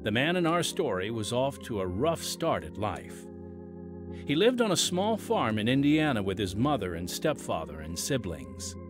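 A man's voice: medium pitch, big pitch swings, moderate pace. A man hosting as announcer, reading dramatic scripted radio narration with folksy, emphatic showmanship. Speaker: announcer and host. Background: music.

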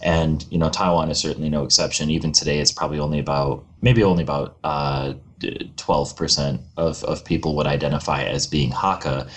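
Male podcast guest, extremely deep voice, measured and explanatory. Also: steady tone. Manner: measured, lecturing, with filler "you know" and "uh". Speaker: guest historian.

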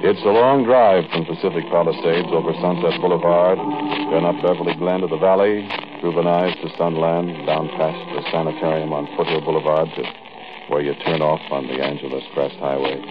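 An American man playing a world-weary bank robber, narrating a 1940s radio drama in a colloquial, halting, conversational way. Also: sits low, fairly even intonation, highs cut off, close to an everyday voice. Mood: tense recollection. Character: world-weary male bank robber recounting his crime. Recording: scratchy, crackling 1940s radio recording.

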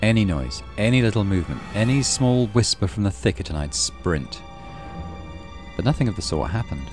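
A man's voice: on the low side, expressive, normal speed, music in the background. A man hosting a let's play, reading a horror visual novel aloud with dramatic storytelling and muttered asides. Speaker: gaming channel host.

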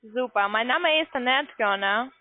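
Female call centre agent, high pitch, narrow phone line. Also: normal speed.

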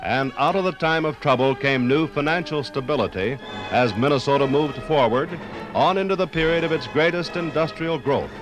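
A man narrating a scripted 1950s radio documentary in a measured, solemn storytelling manner. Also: fairly high pitch, rough recording. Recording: 1950s vinyl radio recording, music underneath.

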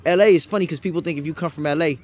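A man from Los Angeles talks fast in a slightly raised voice, thoughtful as he chats on a podcast couch. He speaks close to a boom mic. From South Central Los Angeles, USA. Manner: reflective, quick-talking. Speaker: guest actor. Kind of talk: casual podcast chat. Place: indoor studio set.

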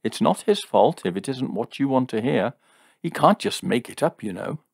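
A man voicing a calm, gently reproving young woman with teasing wit in an audiobook, soft-spoken and matter-of-fact. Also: on the low side, normal speed, dry recording, clean studio sound.

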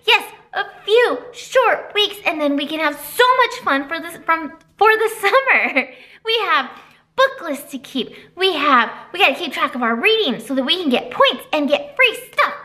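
Woman in her 30s, storytime librarian; high and singsong, with a lively, swooping delivery. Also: moderate pace. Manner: animated, sing-song teacher voice. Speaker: children's librarian. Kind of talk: storytime reading and announcements for kids. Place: library children's room, indoors.

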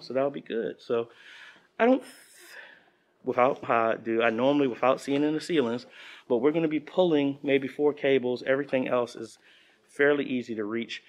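A man in his thirties talking informally and practically, thinking aloud as he explains an installation in a casual vlog style. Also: medium pitch. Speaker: church audio-video installer and vlogger.

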